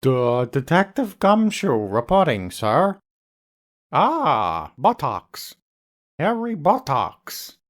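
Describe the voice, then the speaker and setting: A man voicing a bumbling, slow-witted, matey police detective in a video game dub. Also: on the high side, huge pitch swings, soft background music, heavily acted. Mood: oafish.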